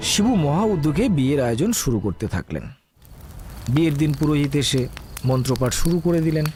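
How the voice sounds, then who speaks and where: A man's voice: fairly high pitch, big pitch swings, fast talker, a little mellow, faint music behind. A man acting as the storyteller of a comic ghost tale, in scripted dramatic narration. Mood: animated.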